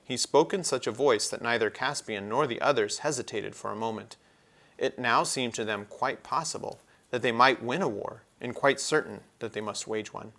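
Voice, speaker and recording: A man's voice: medium pitch, normal speed, vocal fry, a bit bright. A man narrating a children's storybook as a read-aloud. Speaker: storybook reader.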